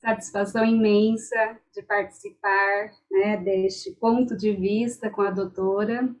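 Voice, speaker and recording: A woman mediator answering as a guest in a deliberate way, often adding "né". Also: mid-range voice, flat delivery, faint music behind, rough recording. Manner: slow, deliberate, with frequent "né". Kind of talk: interview answers.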